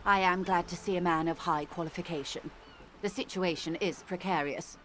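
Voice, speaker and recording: An actress voicing a composed, businesslike woman in a game, formal, polite and measured. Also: on the low side, fairly even intonation, slight background noise, close to an everyday voice. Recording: quiet game audio, lo-fi, music behind.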